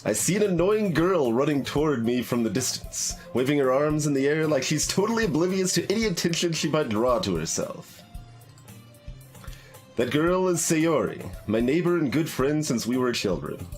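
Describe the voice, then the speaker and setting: A man's voice: on the high side, sing-song, moderate pace, faint music behind. A man streaming a playthrough, casually reading game text aloud in a hesitant, wry manner.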